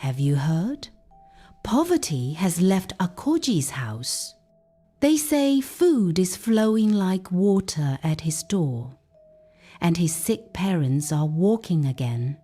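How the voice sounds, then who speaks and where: Woman acting marveling village gossip, mellow voice. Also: lowish voice, big pitch swings, slow, slightly rough, soft background music, heavily acted. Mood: amazed gossip. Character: astonished gossiping villager spreading news. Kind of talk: folktale storytelling.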